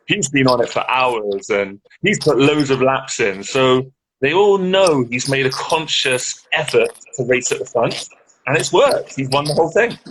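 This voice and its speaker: A man's voice: medium pitch, lively intonation, unhurried, fairly bright tone. Chatty man on a crackly call. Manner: chatty, easygoing, British turns of phrase. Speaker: co-host. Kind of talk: casual live-stream chat. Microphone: video call.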